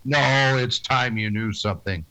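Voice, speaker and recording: An actor playing a stern, weary frontier marshal in a western radio drama, terse, plain-spoken and grave. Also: male voice, mid-range voice, measured pace, sharp tone, poor audio. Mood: grim, stern.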